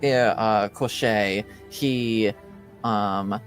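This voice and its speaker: A man's voice: fairly low pitch, fairly even intonation, very slow, soft background music, voice pushed down. A man voicing a devoted young female student assistant in a tabletop game, hesitant, earnest and deferential. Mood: hesitant gossip.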